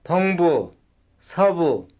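A man reading short cue words for a language substitution drill, one at a time in a slow, measured way. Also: fairly high pitch, sing-song. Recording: dull lo-fi recording.

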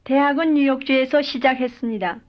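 A woman's voice: high-pitched, steady tone, fairly quick. A woman speaking full model sentences in a language drill, each one carefully and evenly delivered. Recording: dull lo-fi recording.

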